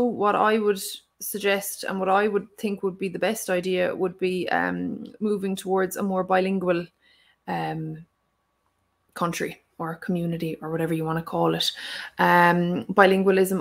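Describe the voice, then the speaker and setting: Young Irish woman teacher, earnest and thoughtful, gravelly fry, flat steady delivery. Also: medium pitch. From Ireland. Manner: thoughtful, earnest, many fillers. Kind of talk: live stream Q&A answer.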